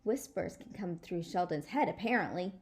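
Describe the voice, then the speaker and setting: A woman voicing an excitable, blunt little schoolgirl from a storybook, with a dramatic childlike manner. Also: fairly low pitch, normal speed. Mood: grumpy.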